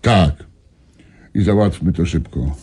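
An actor playing a gruff, impatient government minister in a radio drama, with a gravelly, raspy voice. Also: male voice, sits low, slow pace, dark tone, exaggerated voice. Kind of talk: 1990s radio drama acting.

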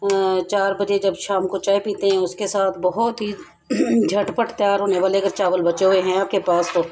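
Woman, home cooking host, chatty and repetitive, mid-pitched voice, faint background hiss. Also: fairly even intonation, moderate pace, a little mellow. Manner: chatty, repeats herself, addresses viewers directly. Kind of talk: home cooking tutorial, casual explaining. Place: home kitchen, over a stovetop.

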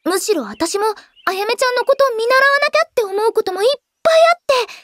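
A woman playing an earnest, expressive teenage girl idol in an anime audio drama. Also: extremely high pitch, fast talker, heavy background noise. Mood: earnest, fond. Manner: girlish, emotive, earnest. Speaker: voice actress, narrator and lead.

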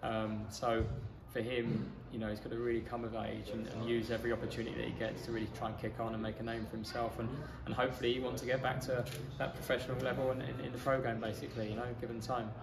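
A man, a football manager giving a measured, matter-of-fact post-match interview. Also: mid-range voice, little pitch movement, gravelly. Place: outdoors in a small football ground stand. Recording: echoey stand with crowd noise.

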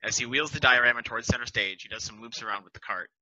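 A man's voice: mid-range voice, quick pace, pushed and bright, no echo. A man plainly reading stage directions aloud at a table read over a video call headset. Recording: dull lo-fi call audio.

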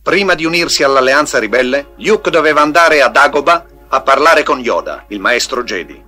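A man's voice: medium pitch, expressive, normal speed, bright, forceful tone. A man reading scripted narration for an audio storybook. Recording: 1980s storybook record with music. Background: music.

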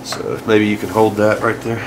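A man giving a casual, plain-spoken, halting hands-on demonstration as a DIY car repair host, working over an engine bay. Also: on the low side, flat delivery, low-quality recording. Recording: clear engine-bay noise and clatter.

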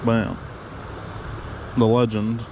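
A man's voice: mid-range voice, extremely slow, lo-fi sound. A young man casually narrating a fishing trip on a river, coaching a friend as he goes. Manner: relaxed, chatty, coaching a friend. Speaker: fishing vlogger. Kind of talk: casual outdoor vlog. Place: river outdoors on a paddle board. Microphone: action camera on the board. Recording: outdoor camera audio with water noise. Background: flowing water.

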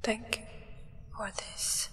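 A woman's voice: slow pace, pushed and bright, a little hiss or hum. A young woman voicing a solemn, reverent acolyte of a vampire sovereign in a ceremonial ASMR roleplay. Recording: long reverb.

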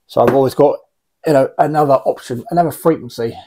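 A man's voice: mid-range voice, quick pace, dark tone. British man, casual and wryly blunt. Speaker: radio hobbyist reviewing gear. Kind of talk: casual unscripted product review. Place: small indoor radio room.

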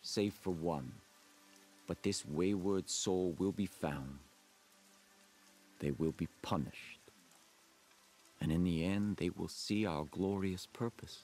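A man playing a solemn, messianic doomsday cult leader in a video game, preaching in grave, deliberate, rhetorical monologues. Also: low-pitched, a little mellow. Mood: grave, prophetic. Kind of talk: dramatic sermon and broadcast monologue.

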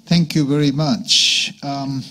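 A man moderating a conference debate in a halting way, often repeating the starts of words. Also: mid-range voice, steady tone, a bit bright. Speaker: panel moderator. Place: conference meeting room with long tables.

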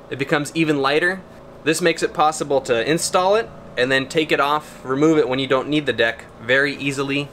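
A man's voice: medium pitch, pushed and bright, a little hiss or hum. A man in his thirties giving an upbeat, friendly how-to talk outdoors beside his van, with laid-back slang. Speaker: vlogger.

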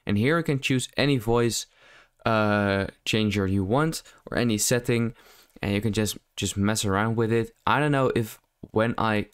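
A man giving a casual software tutorial voice-over in a loosely improvised, hesitant way, close to the microphone. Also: fairly low pitch, normal speed, clear, resonant tone, no room sound. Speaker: tutorial host. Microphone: close mic, screen-recording voice-over.

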